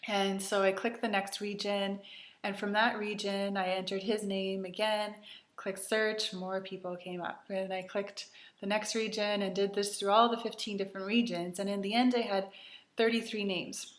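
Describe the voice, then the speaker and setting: A woman's voice: mid-range voice, flat delivery, moderate pace, reverberant. A woman in her thirties from Canada, chatty and earnest, with many ums, telling her personal story to camera as host of a retreat.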